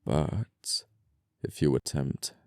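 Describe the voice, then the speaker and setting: An extremely low, dark-toned male murderer played by a man in an audio roleplay. He is amoral and flirtatious, menacing his listener with sardonic politeness. His delivery is slow to rise and deliberate, with a gravelly edge. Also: lively intonation, no room sound, clean studio sound, full character voice. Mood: quietly commanding. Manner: smooth-talking, sardonic, deliberate threats with pauses. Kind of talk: ASMR audio roleplay acting.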